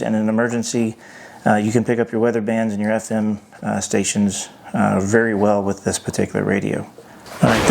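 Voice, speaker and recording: A man talks through a hands-on review in an unscripted, halting fashion, as if working things out aloud. His medium-pitched voice has a noticeable creaky fry. Faint noise and small clicks sit behind him. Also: darkish tone. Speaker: product reviewer.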